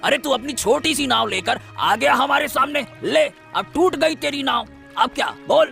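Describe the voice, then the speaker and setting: Cartoon villain, rich boat owner, arrogant, gravelly, high-pitched. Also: male voice, animated, moderate pace, pushed and bright, soft background music, full character voice. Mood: angry. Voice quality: gravelly and bullying.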